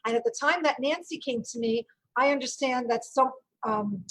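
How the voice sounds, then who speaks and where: A woman's voice: fairly high pitch, normal speed, a bit bright, low-quality recording. A woman from Brooklyn with a New York accent, an event company owner, speaking emphatically on a panel call. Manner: big, emphatic voice. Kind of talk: panel discussion on a video call. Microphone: video call.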